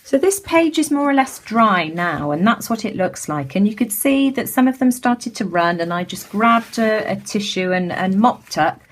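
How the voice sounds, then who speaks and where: A middle-aged British woman with a slightly croaky voice, casually talking through a craft demonstration with lots of ums and restarts. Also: mid-range voice, expressive, normal speed, few pauses. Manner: chatty, many ums and restarts. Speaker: craft tutorial host. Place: home craft table.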